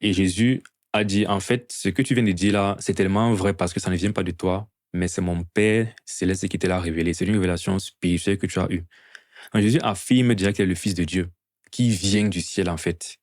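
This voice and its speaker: A man's voice: deep voice, steady tone, fairly quick, a little mellow, dry recording, clean studio sound. A man preaching an evangelistic sermon to a gathering in an earnest, teaching manner, often posing rhetorical questions.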